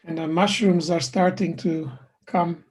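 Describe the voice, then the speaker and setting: A man from Croatia chatting casually before a talk, halting and filling pauses. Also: on the high side, fairly even intonation, darkish tone. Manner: halting, many fillers. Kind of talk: casual chat.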